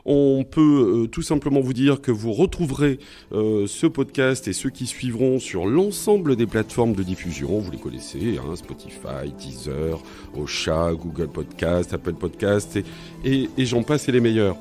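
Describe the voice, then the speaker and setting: A man's voice: lowish voice, lively intonation, normal speed, dark tone, soft background music. A man hosting a podcast, speaking in a semi-formal, courteous way as he addresses guests and listeners. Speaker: podcast host.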